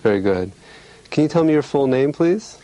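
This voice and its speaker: A man speaking as a clinician demonstrating an exam, giving polite, measured, clearly worded instructions and explanations. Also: mid-range voice, expressive, dark tone.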